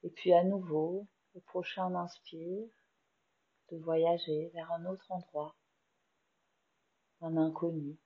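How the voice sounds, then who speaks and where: Casting: French woman, meditation teacher, very slow calm delivery, slightly muffled lo-fi sound. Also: lowish voice, husky. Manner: slow, soft, soothing guidance with long pauses. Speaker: yoga nidra teacher. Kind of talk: guided meditation.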